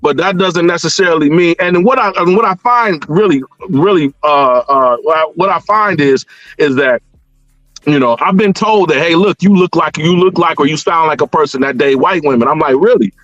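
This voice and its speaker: A middle-aged American man with a slightly high voice talks casually as a guest caller. He circles back over his words in a rambling way. The sound is dry and a little muffled, like an online call. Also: normal speed, a bit bright, no room sound, soft background music. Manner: rambling, repeats words, frequent "you know". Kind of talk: casual discussion of personal experience. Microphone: internet call connection. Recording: band-limited online call audio.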